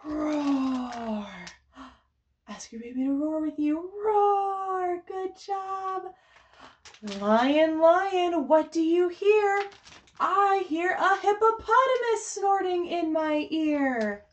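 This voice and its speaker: A woman with a high voice leads a storytime for babies. She takes her time, shaping phrases in a sing-song, child-directed way. Her manner is encouraging and full of simple exclamations. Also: very slow, muffled recording. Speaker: children's librarian leading a baby storytime. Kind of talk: sing-song picture book read-aloud and coaching for babies and parents.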